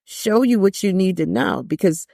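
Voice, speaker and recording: A woman's voice: lively intonation, moderate pace, vocal fry, mellow, no room sound, studio quality. An older woman, a jazz singer, talking candidly as a podcast guest and stressing her points by repeating them. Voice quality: deep voice. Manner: emphatic, repeats phrases for stress.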